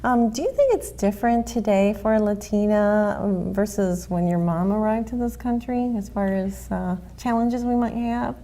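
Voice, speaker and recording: A woman's voice: mid-range voice, normal speed, few pauses, mellow. An American woman who grew up speaking Spanish, hosting a conversational interview in an agreeable, affirming manner. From United States. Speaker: interview host.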